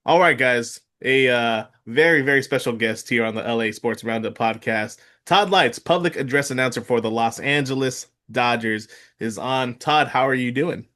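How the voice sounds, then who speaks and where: A man's voice: medium pitch, pushed and bright. A man in his mid-thirties hosting a sports podcast, talking casually with lots of filler words and restarts. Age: 30s. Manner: halting, heavy with fillers and restarts. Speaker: podcast host. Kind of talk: casual interview chat.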